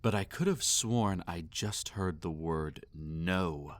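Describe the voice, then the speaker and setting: Male actor as a possessive vampire lord, lowish pitch, very dry close sound, silky menace, formal commands, theatrical roleplay. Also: dry recording. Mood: cold, threatening. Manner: formal, condescending, drawn-out commanding delivery. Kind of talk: roleplay audio acting, intimate and theatrical.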